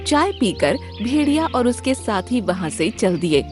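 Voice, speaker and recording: Female storyteller for children's cartoon, very animated intonation, music bed. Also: fairly high pitch, a little slow. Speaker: narrator. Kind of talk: scripted children's story narration.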